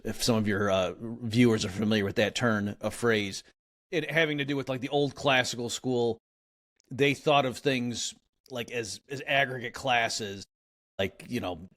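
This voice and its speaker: A man's voice: fairly low pitch, fairly bright tone. A man being interviewed as an economist, explaining ideas in a casual, conversational way with frequent fillers and restarts. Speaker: economist guest.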